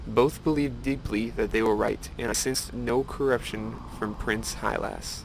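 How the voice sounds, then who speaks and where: A man playing a solemn elf who gravely recounts old events in a fantasy game cutscene. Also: mid-range voice, steady tone, measured pace, a little hiss or hum, low-quality recording. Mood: grave, suspicious. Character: solemn elven lore-keeper recalling a council's schism.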